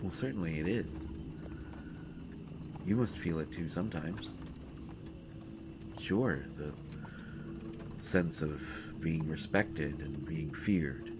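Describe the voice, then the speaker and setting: A man playing a brooding young prince in a fantasy audio drama, speaking to the listener in a halting, earnest, dramatic way. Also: a bass voice, background noise. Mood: pensive, subdued. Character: brooding young male prince at war with his father. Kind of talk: fantasy roleplay audio acting addressed to the listener. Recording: music behind the voice.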